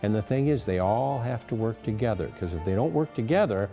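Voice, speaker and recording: An older man who directs radio theater, explaining things in a measured way in an interview. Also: fairly low pitch, expressive, vocal fry, warm tone, faint music behind. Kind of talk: interview answers.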